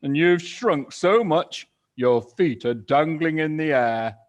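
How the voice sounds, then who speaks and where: A man's voice: medium pitch, natural voice. A man doing a gloating, nasty old man. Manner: slow, drawn-out and mocking.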